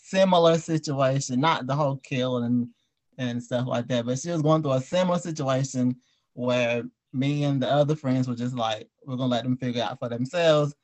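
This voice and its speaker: A man's voice: mid-range voice, fairly quick, poor audio. A man hosting a casual group interview, speaking in an informal, chatty way. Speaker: host and audio drama writer.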